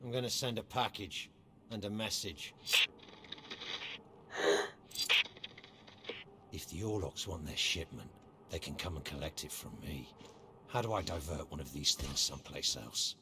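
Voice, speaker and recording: Very deep, gruff bounty hunter. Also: male voice, normal speed, noisy background. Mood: determined, terse. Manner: curt, deadpan. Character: gruff, hardened male bounty hunter, curt and unimpressed. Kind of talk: video game cutscene acting.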